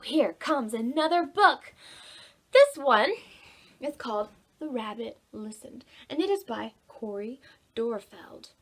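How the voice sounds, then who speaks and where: A woman's voice: a little high, animated, slow, a bit bright. A woman leading storytime as a children's librarian, expressively singing and reading to young children in simple language. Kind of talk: singsong children's songs and picture-book read-aloud.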